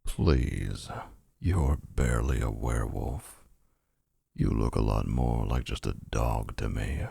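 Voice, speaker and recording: A man's voice: rumbling low, takes it slowly, gravelly, dark tone, husky, dry recording, studio quality, full character voice. A man voicing a possessive, smug male vampire suitor in a boyfriend roleplay, talking in a menacing, boastful way. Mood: taunting.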